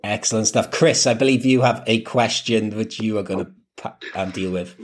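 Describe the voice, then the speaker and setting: A man's voice: lowish voice, normal speed. Man hosting a casual interview. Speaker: host of a football fan podcast.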